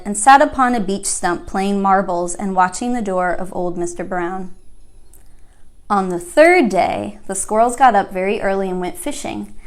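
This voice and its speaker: A woman reads a children's story aloud. Her voice sits at a middle pitch. She narrates in the manner of a library storyteller reading to young listeners.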